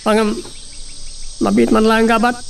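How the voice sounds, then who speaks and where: A man's voice: high voice, completely flat delivery, measured pace, gravelly. A man with an Ilocano accent acting as a defiant, scoffing hothead in a radio drama. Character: defiant, scoffing hothead servant ready to retaliate. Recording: distant mic with faint insect noise.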